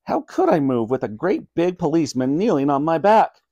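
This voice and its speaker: A man's voice: a little high, big pitch swings, moderate pace, warm tone, no echo, muffled recording, pitched above the performer's own voice. A man doing an earnest young boy.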